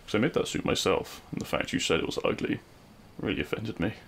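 A man playing a regretful, lovelorn supervillain in an audio roleplay, speaking in a hushed, halting, wistful way. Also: fairly low pitch, slight background noise. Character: regretful lovelorn male supervillain addressing his fallen hero. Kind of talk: scripted ASMR audio roleplay monologue.

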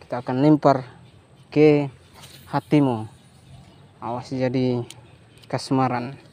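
Slow-paced, mellow man with playful catchphrases. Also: mid-range voice, level tone. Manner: playful, repeats catchphrases and exclamations. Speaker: fishing vlogger. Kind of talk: casual commentary while fishing. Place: outdoors on a grassy river estuary bank.

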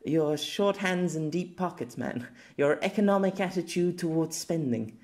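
A man voicing a playful, kind young woman in an audiobook, with a gentle, teasing manner. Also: on the high side, expressive, moderate pace, voice pushed up.

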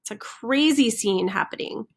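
A woman's voice: on the high side, animated, moderate pace, husky. A woman reading a picture book aloud to young children, expressively leaning on the rhyming sound words. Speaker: children's center storyteller. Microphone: computer microphone on a screen recording with webcam.

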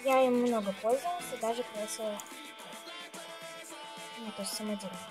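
A woman's voice: fairly high pitch, normal speed, music in the background. A woman video blogger chatting casually and ramblingly to herself and her viewers while showing things, chewing gum as she talks. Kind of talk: casual chatty nail polish show-and-tell.

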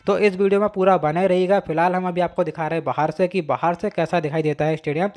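A man narrates a walking tour of a stadium, talking quickly in a fairly high voice. He chats to his viewers as friends and repeats the same filler phrases, recorded on a phone he holds as he walks. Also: steady tone, a little mellow. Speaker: vlogger. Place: inside and around a stadium, walking. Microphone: phone camera held while walking.

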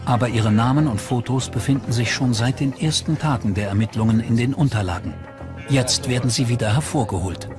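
A man's voice: medium pitch, brisk. A man reading scripted true crime documentary narration in German-dub style as the narrator. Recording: music bed under voice. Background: prominent music.

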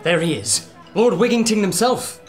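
An actor playing an earnest, polite archaeologist in an audio adventure drama, courteous and a little hesitant. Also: male voice, high-pitched, moderate pace, soft background music, close to an everyday voice. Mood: eager.

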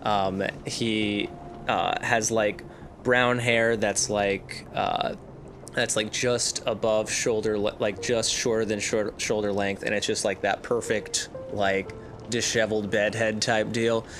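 A man playing a tabletop game, casually improvising a description of his character in a halting, jokey way. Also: fairly low pitch, level tone, background noise.

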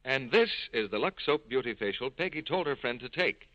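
A man working as a 1940s radio announcer, delivering a polished scripted sales pitch. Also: expressive, brisk, no room sound, dull audio.

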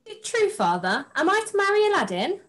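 A young woman playing a haughty, naive pantomime princess in an expressive, slightly stagey way. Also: extremely high pitch, brisk, heavily acted. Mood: eager. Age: young adult. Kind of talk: script read-through on video call. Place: room at home, video call.

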